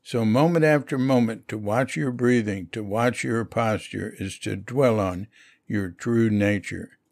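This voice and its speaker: A man reading a spiritual lecture aloud in a measured way. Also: on the low side, gravelly, darkish tone, no echo, clean studio sound. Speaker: reader of a Zen lecture.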